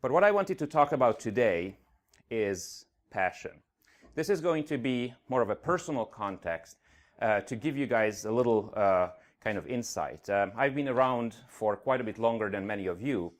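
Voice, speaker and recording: Middle-aged man with a light Hungarian accent and a somewhat low voice; earnest speaker at a tech conference. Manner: earnest, with frequent uh fillers. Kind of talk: conference keynote talk. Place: conference venue stage.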